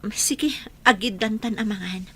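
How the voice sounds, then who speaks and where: A woman playing a weary, grieving older woman in a Philippine radio drama, grateful and soft-spoken, half whispering. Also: medium pitch, a little slow, slightly rough. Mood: grateful, subdued. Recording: faint background noise.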